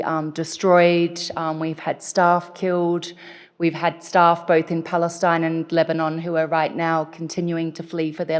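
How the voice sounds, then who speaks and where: A woman moderating a conference session, speaking slowly and haltingly with many fillers before introducing the next speaker. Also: low-pitched, darkish tone, smooth. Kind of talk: spoken introduction. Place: large conference hall. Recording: echoey conference hall.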